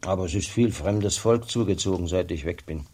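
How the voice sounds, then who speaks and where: An actor playing a gruff, blunt former village policeman in a German radio drama, with a rough, husky voice and brusque, grumbling manner. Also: low voice, a little fast, a little mellow. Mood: blunt, dismissive.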